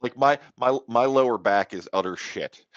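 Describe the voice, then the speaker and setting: A man's voice: mid-range voice, normal speed, no echo, dull audio. A man in his forties co-hosting a podcast, laid-back and self-deprecating, swearing casually. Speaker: podcast co-host. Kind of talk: casual chat.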